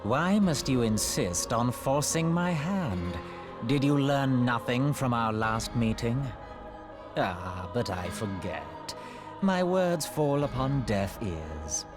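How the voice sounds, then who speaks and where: An actor playing a haughty, scheming immortal sorcerer in a video game, with theatrical, condescending and archaic formal delivery. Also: male voice, medium pitch, big pitch swings, slow pace. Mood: scornful, lecturing. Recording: loud background music.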